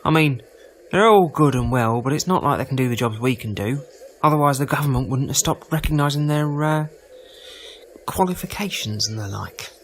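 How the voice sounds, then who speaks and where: An actor playing a prejudiced, chatty market-stall trader in an audio drama, rambling in a folksy, defensive way. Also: male voice, medium pitch, expressive, moderate pace, close to an everyday voice. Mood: self-righteous. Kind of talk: full-cast audio drama acting.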